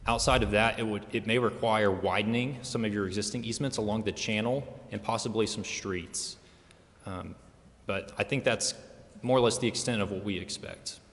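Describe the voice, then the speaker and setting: A man giving measured, slightly hesitant technical answers as an engineer at a public meeting. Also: lowish voice, steady tone, a bit bright. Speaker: consulting engineer answering questions. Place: council chamber. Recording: reverberant meeting hall.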